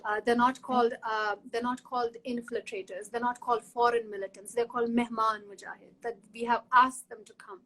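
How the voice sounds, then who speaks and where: A woman's voice: on the high side, steady tone, a little fast, hardly any pauses, a bit bright, slight background noise, poor audio. A woman from Kashmir, speaking earnestly in a conversational interview, often restarting and repeating words. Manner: earnest, frequent restarts and repetitions. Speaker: guest academic in conversation.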